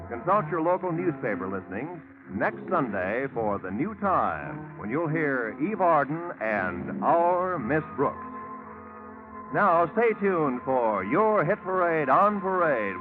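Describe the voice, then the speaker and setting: An American man working as a radio announcer, with polished, formal 1940s network delivery. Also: mid-range voice. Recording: tinny vintage radio recording with music.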